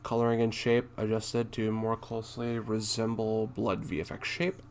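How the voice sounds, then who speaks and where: A man doing casual commentary as he reads game notes aloud, halting and thinking out loud, with a blunt streak. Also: on the low side, little pitch movement, slight background noise. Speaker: gaming content creator and streamer.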